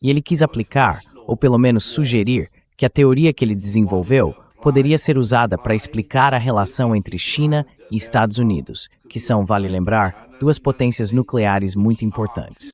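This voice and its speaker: A man's voice: mid-range voice, normal speed, hardly any pauses, a little mellow. A man with a Brazilian accent speaking as a dubbed interview guest, giving political commentary in an emphatic, didactic manner.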